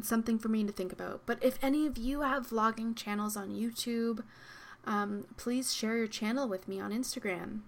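A young woman hosting her own podcast, talking in a soft, reflective way with frequent ums and likes, her voice slightly worn. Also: mid-range voice, vocal fry. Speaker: podcast host. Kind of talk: solo podcast monologue. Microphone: podcast microphone.

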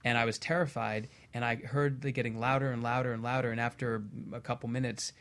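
A man on a talk show panel, explaining things in a measured, articulate, conversational way. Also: medium pitch, flat delivery, a bit bright.

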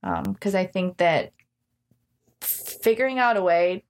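A woman's voice: fairly low pitch, expressive, slow. A woman in her thirties talking casually in an interview, candid, reflective and self-deprecating. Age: 30s. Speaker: gym owner being interviewed.

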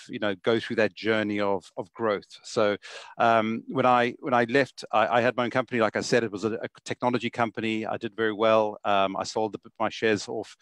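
A man's voice: on the low side, a bit bright, no room sound. A man with a South African accent, a guest on a video podcast, talking casually from his home study over a video call and often repeating words as he starts sentences. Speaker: guest, software sales executive.

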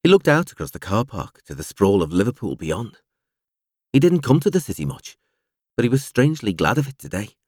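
A man reading literary fiction aloud as an audiobook narrator, with a measured, expressive storytelling delivery. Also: medium pitch, no echo, clean studio sound.

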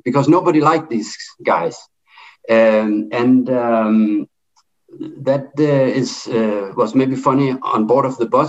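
A middle-aged man with a Danish accent talks in an online debate, his voice sitting in an ordinary middle pitch. He pauses often on fillers and restarts his phrases. The audio is somewhat muffled and lo-fi. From Denmark. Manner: halting, with many fillers and restarts. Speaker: debate speaker.

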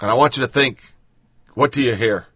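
A man's voice: medium pitch, fairly quick, a bit bright, soft background music. A man hosting political commentary, reading news and then ranting bluntly with sarcasm and profanity.

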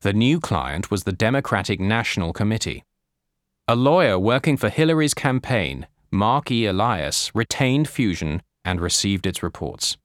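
A man reading a scripted nonfiction audiobook as narrator, with measured, deliberate phrasing. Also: fairly low pitch, very animated, no echo, studio quality.

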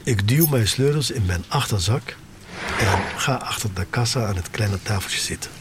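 An actor playing a sneaker-obsessed, wistful man of the future, telling his story in a deliberate, dramatic radio-drama monologue. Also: on the low side, animated, background noise, natural voice. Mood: subdued, reflective.

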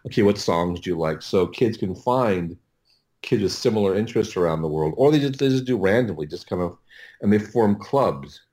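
A man talking casually as an interview guest, explaining things in a halting way and often repeating his words. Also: fairly low pitch, animated, darkish tone. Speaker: interview guest, audio producer.

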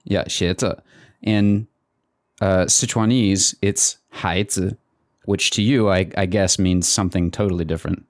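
Man, podcast co-host, halting casual talk, low voice, dry. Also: fairly even intonation, no room sound. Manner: halting, repeats words while explaining. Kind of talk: casual conversation.

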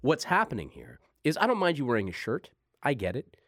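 A man's voice: medium pitch, wildly swooping pitch, a little fast, fairly bright tone, no room sound, clean studio sound. Teasing male radio co-host. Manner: teasing, mock-indignant. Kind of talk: casual banter.

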